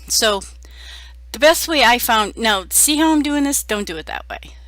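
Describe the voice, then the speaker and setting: Hoarse middle-aged woman crafter, chatty and self-deprecating, bright tone. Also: on the high side, animated, moderate pace, no room sound. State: hoarse from a cold. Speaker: card-making crafter. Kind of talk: casual crafting voice-over.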